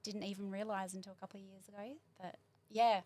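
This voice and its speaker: A woman in her forties with a New Zealand accent chats as a guest on a small studio podcast. She talks warmly and rambles as she recalls things, with plenty of casual fillers. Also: medium pitch, little pitch movement, fast, no echo. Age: 40s. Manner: warm, chatty, rambling recollection. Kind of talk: casual interview chat.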